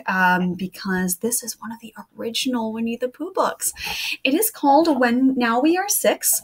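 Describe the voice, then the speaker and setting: A woman in her thirties with a high, very animated voice. She talks directly to children and family in a casual way and reads simple poems aloud as a storyteller. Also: husky. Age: 30s. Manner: addresses young listeners and family directly.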